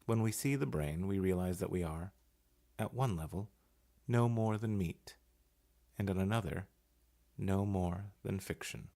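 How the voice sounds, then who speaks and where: A man voice-over artist giving a measured, unhurried, hushed reading of essay and poetry. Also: deep voice, gravelly. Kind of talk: scripted narration of essay and poetry.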